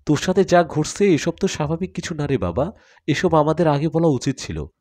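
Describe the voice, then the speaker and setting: A man's voice: on the high side, sing-song, fairly quick, a little mellow, voice pushed up. A man voicing a worried, caring middle-aged mother in a story, anxious and fussing.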